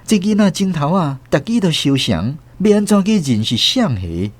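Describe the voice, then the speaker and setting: A man's voice: a little high, big pitch swings, moderate pace, dry recording. A man with a Taiwanese accent reading dramatic scripted narration for a radio drama.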